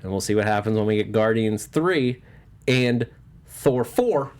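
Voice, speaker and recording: A man's voice: on the low side, animated, unhurried. A man hosting a panel show, chatting informally and jokily in a loose, rambling way. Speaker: host of a movie news panel show. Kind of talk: casual panel discussion of comic-book films.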